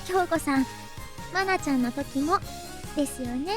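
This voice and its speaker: A woman's voice: sits high, fast talker, natural voice. A woman voicing a polite, whimsical, faintly mysterious teenage schoolgirl in a Japanese visual novel. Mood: gently teasing. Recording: background music under the voice.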